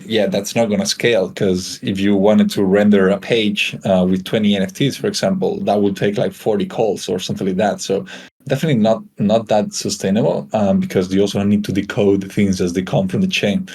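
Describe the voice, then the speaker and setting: Brief: man from Spain, low-ish voice, laid-back tech explainer on a video call, lots of ums. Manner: relaxed, many fillers and restarts. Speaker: guest solutions engineer. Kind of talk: casual technical presentation.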